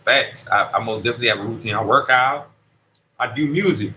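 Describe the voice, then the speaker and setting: Man, thirties, incarcerated interviewee, street slang, keeps checking in with the listener, sharp bright tone, faint background hum. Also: medium pitch, brisk. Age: 30s. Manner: street slang, keeps asking "you see what I'm saying". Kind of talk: casual conversational storytelling. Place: prison dorm.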